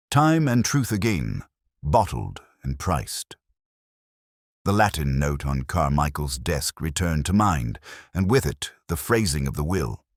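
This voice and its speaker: Man acting as older detective, audio mystery narrator, extremely low pitch, expressive swoops, measured, literary, melancholy. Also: dry recording, clean studio sound, heavily acted. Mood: melancholy, reflective. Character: world-weary, brooding older male English detective narrating his case. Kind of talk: scripted first-person mystery audio drama narration.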